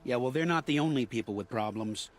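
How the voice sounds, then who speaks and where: A man's voice: mid-range voice, a little fast, lightly acted. An actor playing a desperate, stubborn farmer turned hunter in a video game, defensive and insistent in his delivery. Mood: bitter, desperate. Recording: faint ambient noise under the voice.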